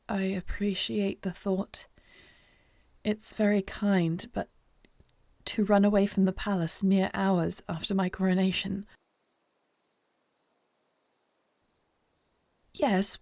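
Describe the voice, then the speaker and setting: Casting: female actor as proud, quick-tempered, kind-hearted fantasy queen; regal formal diction with noticeable vocal fry. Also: mid-range voice, darkish tone. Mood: hesitant, softening. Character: short-tempered yet caring newly crowned warrior queen. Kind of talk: fantasy audio roleplay acting.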